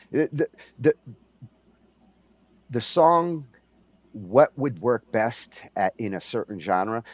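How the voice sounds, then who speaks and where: A man co-hosting a casual chat about songwriting, talking in a halting way with frequent restarts. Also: lowish voice, vocal fry, dry recording, muffled recording. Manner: slow, halting, restarts often. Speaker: podcast co-host.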